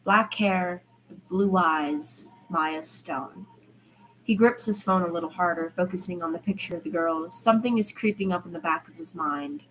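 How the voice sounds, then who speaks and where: A woman's voice: on the low side, normal speed, a bit bright, slight background noise, rough recording. A woman narrating a story as an amateur audiobook reader, informal, with the occasional small stumble. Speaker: podfic narrator. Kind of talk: amateur fiction reading with character dialogue.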